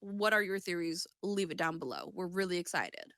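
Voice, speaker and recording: A woman's voice: on the low side, lively intonation, a little fast, a bit bright, no room sound, clean studio sound. A woman co-hosting a podcast, chatting casually in a rambling, sweary way. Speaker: podcast host. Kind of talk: casual chat.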